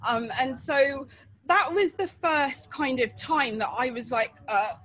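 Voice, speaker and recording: A woman's voice: sits high, expressive, measured pace, bright, forceful tone. A middle-aged woman from England telling her story in a casual, chatty way, with many fillers and laughs, over a webcam video call. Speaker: interviewee. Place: outdoor porch at night.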